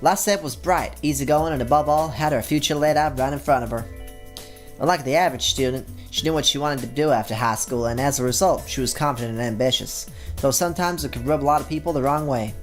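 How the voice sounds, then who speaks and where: A man's voice: mid-range voice, fast talker, soft background music. Man narrating a visual novel. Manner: plain, steady narration with casual asides. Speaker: YouTube gamer. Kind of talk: reading a visual novel aloud.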